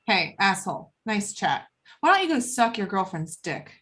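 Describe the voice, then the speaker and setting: A woman's voice: mid-range voice, big pitch swings, moderate pace, fairly bright tone. A woman acting a brash, hostile, foul-mouthed tough woman at a script table read, combative and sarcastic. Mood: hostile, taunting.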